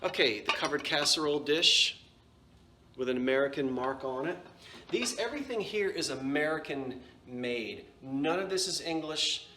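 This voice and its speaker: A man's voice: on the high side, expressive, normal speed, sharp tone, a little hiss or hum. A man with a New Jersey accent, casually showing and describing antique dishes in a chatty, self-correcting way. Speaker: antiques seller. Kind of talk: casual show-and-tell vlog.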